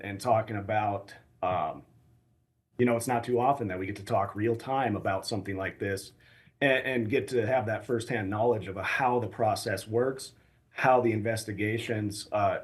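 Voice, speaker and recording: American man, measured and hesitant expert guest. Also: lowish voice. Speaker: assistant professor of air traffic management, expert guest. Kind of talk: live news interview answers.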